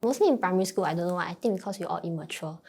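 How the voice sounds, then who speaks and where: A woman's voice: on the low side, lively intonation, rapid-fire, gravelly, a little mellow, husky. A young Singaporean woman chatting casually in Singlish phrasing as an interviewee. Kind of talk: casual chat.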